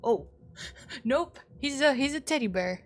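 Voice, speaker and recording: A woman's voice: high-pitched, animated, takes it slowly. A woman streaming a game with casual, informal live commentary.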